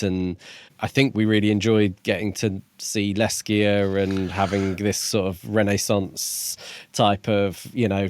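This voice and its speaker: Man, fairly low voice, podcast co-host, casual discussion, dry sound. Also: clean tone, no room sound.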